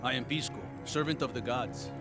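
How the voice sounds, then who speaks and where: A man's voice: medium pitch, brisk, music in the background, close to an everyday voice. Guy acting as an odd outcast man who thinks he's dead, game dialogue, mid-range voice. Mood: wistful, solemn.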